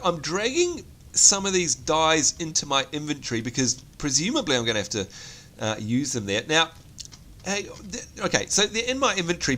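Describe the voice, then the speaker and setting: A man's voice: fairly high pitch, bright, forceful tone. A man with a New Zealand accent, sick with a cold, giving chatty, self-mocking commentary on a game he is playing. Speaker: gameplay commentator. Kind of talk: casual let's play commentary.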